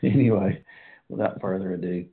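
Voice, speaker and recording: A man's voice: deep voice, normal speed, gravelly, phone-quality sound. A man with a Southern US accent hosting an interview podcast in an easygoing, friendly way. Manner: easygoing, chuckles often. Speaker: podcast host.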